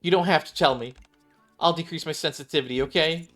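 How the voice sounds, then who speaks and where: A man's voice: a little high, fairly quick, fairly bright tone, voice pushed up. A man voicing an eager, boastful young female survivalist rookie from a video game, chatty and overconfident, with music behind. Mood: boastful, insistent.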